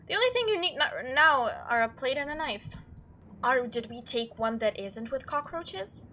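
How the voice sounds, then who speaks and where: A woman with a high, very lively voice narrates a visual novel playthrough. She switches between reading the text aloud and chatty, reactive commentary in a bright tone. A faint noise sits behind her. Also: moderate pace. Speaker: let's play host.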